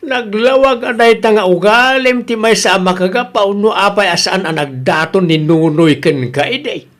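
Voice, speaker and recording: A man's voice: sits high, normal speed, fairly bright tone. A man with a Filipino accent playing a father who chides and banters with his grown child in a comedic radio drama. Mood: chiding.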